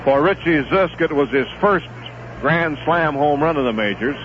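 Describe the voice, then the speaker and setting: Middle-aged man, radio baseball play-by-play, fairly high voice, muffled 1970s broadcast, audible hiss. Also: moderate pace. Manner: steady relaxed play-by-play, rising excitement on big plays. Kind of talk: live baseball radio commentary.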